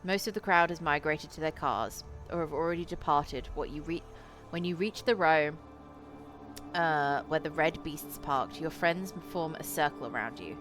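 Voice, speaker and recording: A woman streamer with a low voice reading a visual novel aloud, dropping in casual, sweary asides. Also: moderate pace, over music. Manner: sweary, excitable reactions in asides.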